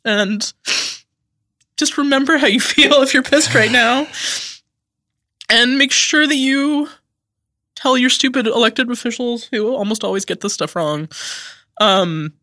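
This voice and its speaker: A woman's voice: on the high side, unhurried, pauses often, bright, forceful tone, no room sound, studio quality. A woman from Idaho, a podcast guest, speaking in an impassioned, emphatic way and sounding tired and on edge. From Idaho, USA. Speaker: guest commentator. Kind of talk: podcast interview.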